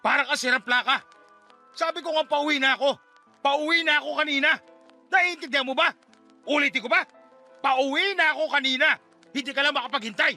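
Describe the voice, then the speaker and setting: A man's voice: very high voice, brisk, pauses often, sharp tone, soft background music, heavily acted. An actor playing a cocky, swaggering middle-aged stepfather in a radio drama, boastful and argumentative. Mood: irritated, angry. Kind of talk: Tagalog radio drama acting.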